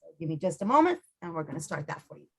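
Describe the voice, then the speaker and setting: A woman's voice: deep voice, sing-song, rapid-fire, darkish tone, breathy edge. A woman hosting a casual radio interview in an informal, rambling way, with lots of "you know". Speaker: radio show host, interviewer.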